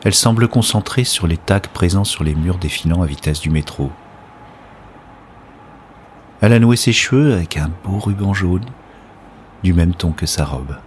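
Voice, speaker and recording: A man playing an introspective, gently wry narrator in a science-fiction audio drama, speaking in a literary, observational way. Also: deep voice, lively intonation, normal speed, long pauses, slight background noise. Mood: pensive, observant.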